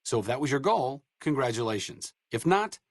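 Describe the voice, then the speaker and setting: A man's voice: medium pitch, big pitch swings, normal speed, dry recording. An actor playing a wry, idealistic male doctor in a video game, dry and sarcastic by turns, then earnest.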